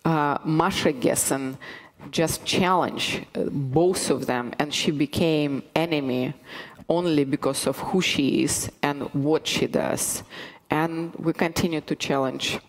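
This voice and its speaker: A speaker at a public lecture, talking slowly and haltingly with pauses. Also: medium pitch. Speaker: theatre director speaking at a lecture. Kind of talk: public speech. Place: lecture hall with an audience. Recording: hall reverb, crowd in the room.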